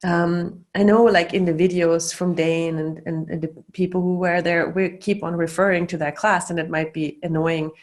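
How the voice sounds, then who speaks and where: A woman's voice: on the low side, little pitch movement, moderate pace, hardly any pauses. A middle-aged woman, a facilitator talking loosely over a video call, full of fillers and restarts. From Austria. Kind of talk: casual teaching talk.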